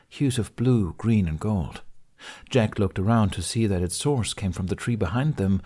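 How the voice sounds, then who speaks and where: A man narrates a fantasy fan fiction story in a steady, expressive reading. His voice sits low, and he keeps a calm storyteller's pace through the action. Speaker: podfic reader. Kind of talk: fanfiction audiobook narration.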